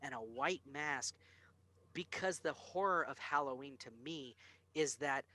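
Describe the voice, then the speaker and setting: A man's voice: on the high side, a little slow, pushed and bright. A man hosting a casual film discussion, speaking in an emphatic, opinionated way and swearing freely. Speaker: podcast host.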